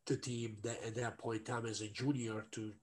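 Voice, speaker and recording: A middle-aged man with a Croatian accent talks casually as a guest on a video call. His voice is mid-range in pitch. He speaks haltingly, often doubling back on words as he looks for the next one. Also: flat delivery, a little mellow. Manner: halting, repeats words as he searches. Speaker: interview guest, actor and former athlete. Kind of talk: casual interview chat. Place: indoor room, on a video call. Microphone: video call at a computer.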